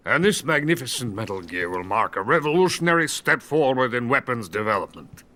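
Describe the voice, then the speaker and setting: An actor playing a bitter, boastful older Soviet weapons scientist who is drunk and rambles theatrically in a video game. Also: male voice, mid-range voice, big pitch swings, a little fast, sharp tone. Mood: grandiose enthusiasm. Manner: grandiose, self-pitying, theatrical rambling. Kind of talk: dramatic video game voice acting.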